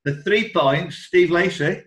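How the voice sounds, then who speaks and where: A man hosting a quiz on a group video call, reading questions in a deliberate way with informal banter. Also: high voice, fairly bright tone, a little hiss or hum. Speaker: quiz host and question setter. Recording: echoey room over video call.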